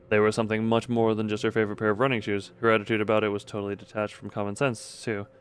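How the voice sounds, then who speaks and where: A man's voice: on the low side, slight background noise. A man streaming a game, chatting casually and reading the story text aloud with dramatic delivery.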